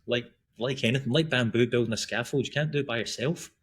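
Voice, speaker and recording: A man's voice: medium pitch, quick pace, fairly bright tone, slightly rough. A middle-aged man from Glasgow with a Scottish accent, chatting as a podcast guest in a rambling, easygoing way. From Scotland. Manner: rambling, chatty, frequent restarts and ums. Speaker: guest, breath work and running coach. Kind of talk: casual podcast conversation.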